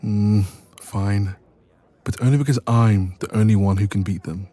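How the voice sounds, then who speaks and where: A man's voice: on the low side, normal speed, close to an everyday voice. A man playing a playful, teasing young best friend in a romantic roleplay audio, chatting casually and intimately. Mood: mock indignant. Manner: playful, teasing, expressive, soft laughs.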